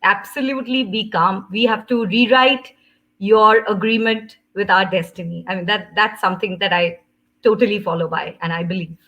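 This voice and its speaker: A woman answering as a panel guest, measured and pausing often. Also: mid-range voice, a bit bright, muffled recording.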